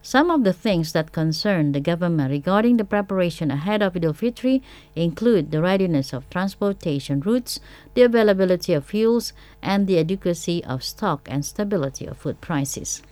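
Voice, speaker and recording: A woman's voice: on the low side, expressive, moderate pace, darkish tone, no echo. A woman news presenter with an Indonesian accent, reading scripted radio news.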